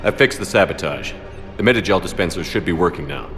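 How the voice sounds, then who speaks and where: A stern sci-fi game commander with a very low voice. He talks in curt, dry, commanding phrases, with faint music behind him. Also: lively intonation, fast talker, fairly bright tone. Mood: businesslike. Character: stern, curt, authoritative male military commander of a spaceship. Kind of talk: video game voice acting.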